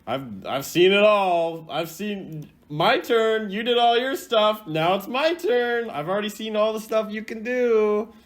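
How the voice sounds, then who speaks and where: A man's voice: high-pitched, a little slow, hardly any pauses. A man doing casual reaction commentary as a gaming creator, thinking aloud in an informal, rambling way. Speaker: video game content creator ranking voice lines.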